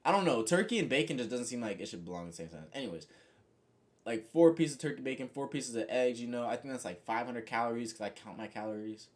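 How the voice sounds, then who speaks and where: Young guy just rambling to his viewers, mid-pitch, kinda monotone, lots of you know and like. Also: fast talker. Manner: says he has a monotone voice. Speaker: vlogger. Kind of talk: casual reflective monologue to camera.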